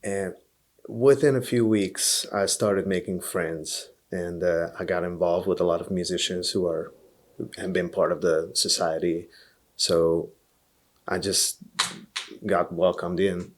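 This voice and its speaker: Man, Hungarian accent, very low voice, earnest, halting repeats, podcast guest. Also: steady tone. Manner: earnest, repeats words as he gathers thoughts. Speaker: podcast guest, blues musician. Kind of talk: relaxed interview storytelling.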